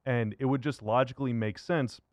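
A man's voice: medium pitch, fairly even intonation, brisk, darkish tone, no echo. A man hosting a sports radio show, talking in a casual, conversational way about basketball. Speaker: sports radio and podcast host. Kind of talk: upbeat show intro and basketball interview.

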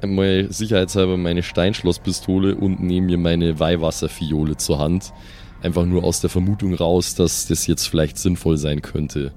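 Deep, dark-voiced man at a game table. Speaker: player in a tabletop role-playing game. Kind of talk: casual improvised table talk.

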